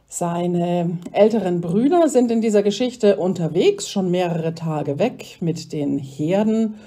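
Female pastor, theological explainer: husky, slightly low voice, interview delivery. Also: slow, dark tone. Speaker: Protestant pastor, theological expert.

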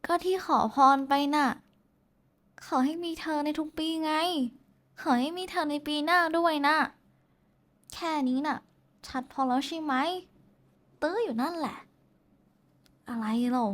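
A woman performs a young woman in an intimate audio roleplay, with a voice pitched a bit high. She is bashful and affectionate, chatting casually to someone she likes. Her teasing comes with small hesitations. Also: measured pace, pauses often. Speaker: VTuber voice actress. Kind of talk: intimate roleplay voice pack addressed to the listener.

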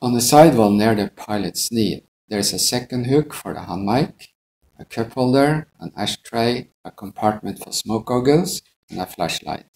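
A man's voice: lowish voice, animated, normal speed, gravelly, darkish tone, poor audio. A middle-aged man, an airline pilot, giving a methodical, scripted instructional narration.

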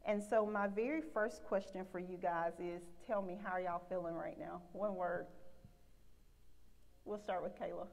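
Woman, Southern US accent, church panel host, gravelly, long hall reverb. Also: on the low side, fairly even intonation, moderate pace, a little mellow. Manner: upbeat, frequent amens. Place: large church sanctuary.

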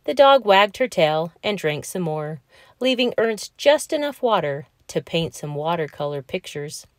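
A woman reading a children's storybook aloud in an expressive, animated storytelling manner. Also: on the low side, a little slow, no echo, clean studio sound. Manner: animated, emphatic storytelling delivery. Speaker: children's book reader.

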